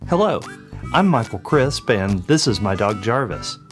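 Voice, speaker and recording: A man reading scripted ad dialogue as an insurance pitchman, plain and straightforward. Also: very animated, a little slow, faint music behind.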